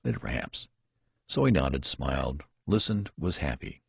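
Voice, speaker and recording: A man's voice: rumbling low, big pitch swings, moderate pace, gravelly, husky, dry recording, lo-fi sound. A man reading a literary story aloud as scripted audiobook narration. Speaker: audiobook reader.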